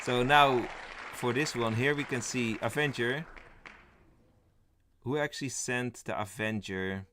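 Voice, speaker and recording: A man's voice: mid-range voice, a little slow, pauses often, sharp tone, a faint crowd, a little hiss or hum. A young man, a native Dutch speaker, streaming live in casual chat, rambling and thinking aloud as he reads the chat and lists game roles.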